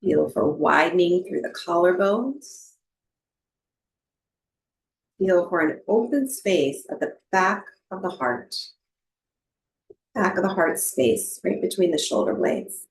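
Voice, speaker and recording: A woman leads a guided meditation in a low, soothing voice. She speaks very slowly and gently, leaving long pauses between short instructions. Her voice carries a clear roomy echo. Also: animated. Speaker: yoga nidra and breathwork teacher. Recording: roomy, echoing voice recording.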